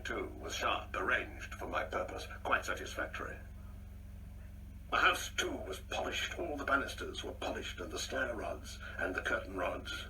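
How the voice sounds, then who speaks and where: A man's voice: medium pitch, bright, forceful tone, recorded from a distance, a little hiss or hum, low-quality recording. An actor playing a proud, insecure older man in a radio play, speaking in a pompous, precise, theatrical way. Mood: proud reminiscence. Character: proud, insecure ageing man, bitterly reminiscing and taunting.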